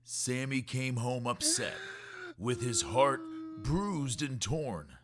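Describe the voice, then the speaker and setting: A man reading a rhyming children's story aloud in an expressive, measured storytelling way. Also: medium pitch, over music. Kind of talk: rhyming scripted narration for kids.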